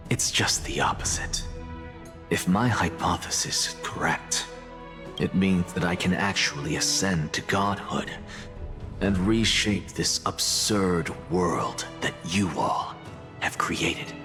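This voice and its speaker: A man's voice: sits low, slow. An actor voicing an arrogant, self-sacrificing male scholar in a video game, theatrical, self-assured and dismissive. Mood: defiant, grandiose. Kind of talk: dramatic video game voice acting. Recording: long reverb, music underneath.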